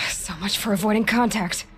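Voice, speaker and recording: A woman playing a young adventurer in a video game, guarded and searching, in a hushed, breathy, wary way. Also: medium pitch, moderate pace, heavy background noise, lightly acted. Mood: wary, focused.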